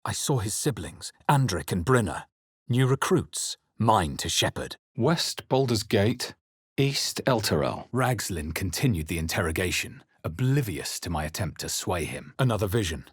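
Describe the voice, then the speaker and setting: A man playing a grave fantasy game adventurer, with solemn, measured, dramatic delivery as he narrates his journey. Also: on the low side, very animated, slightly rough, no room sound, studio quality. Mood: solemn, intent. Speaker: video game player-character voice.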